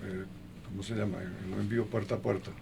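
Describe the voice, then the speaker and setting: An older man being interviewed in a conversational way, speaking haltingly and listing things one by one, with a gravelly voice. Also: fairly low pitch, flat delivery, background noise. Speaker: interview guest.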